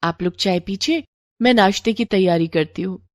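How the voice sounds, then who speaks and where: A woman voicing a gentle, respectful young daughter-in-law in an animated moral story. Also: medium pitch, normal speed, no room sound, clean studio sound, close to an everyday voice. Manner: respectful, eager to serve.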